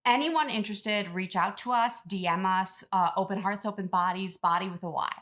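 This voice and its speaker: A woman acts out a smug female wellness influencer in a mock interview. Her voice sits at a middling pitch, with a faux-earnest, gushing sweetness. She chats along with oblivious self-satisfaction. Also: normal speed, fairly bright tone, close to an everyday voice. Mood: sweetly self-promoting. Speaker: podcast guest. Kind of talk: improvised mock interview, casual chat.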